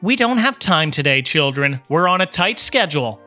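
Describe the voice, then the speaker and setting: A weary schoolteacher woman, played by a male narrator firmly explains things to children. The storybook voice is high and bright, with faint music behind it. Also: sing-song, normal speed, exaggerated voice. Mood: firm, sympathetic. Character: weary, sympathetic but frustrated woman schoolteacher.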